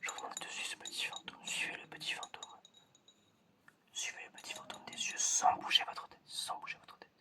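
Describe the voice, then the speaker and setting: A man speaking in a breathy, unvoiced whisper, playing an examiner in an ASMR roleplay with hesitant, repetitive, teasing patter. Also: a little fast.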